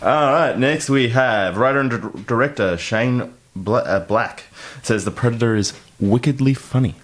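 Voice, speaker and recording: A man's voice: mid-range voice, normal speed. A man co-hosting a podcast, chatting casually and introducing news items. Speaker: podcast co-host.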